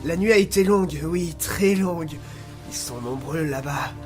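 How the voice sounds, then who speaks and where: Superstitious sailor guy acting, highish voice, uneasy n foreboding, audio drama, music behind. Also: normal speed, natural voice. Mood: eerie.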